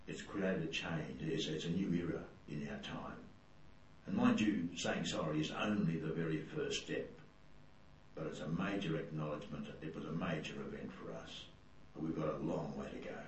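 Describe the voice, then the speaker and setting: Deep Australian man in echoey room. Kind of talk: earnest spoken testimony. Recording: distant, very echoey room with hum.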